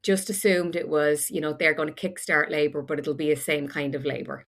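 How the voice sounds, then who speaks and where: A woman's voice: deep voice, level tone, a little fast. A woman in her forties with an Irish accent, a podcast guest telling her story frankly with self-deprecating humour. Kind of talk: candid storytelling chat.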